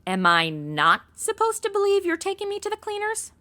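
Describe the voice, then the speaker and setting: Ditzy modern gal, audio comedy: high, bright, very animated, blunt and slangy. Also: female voice, moderate pace, heavily acted. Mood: petulant. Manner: blunt, slangy, valley-girl phrasing. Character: brash, ditzy modern young woman stranded in 1940s mysteries. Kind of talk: comedic audio drama acting.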